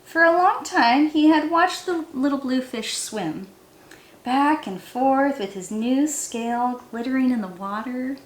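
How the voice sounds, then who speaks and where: A woman teacher reading a picture book aloud in an expressive, animated way to young listeners. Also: high-pitched, measured pace. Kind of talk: expressive children's read-aloud. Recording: roomy, echoey sound.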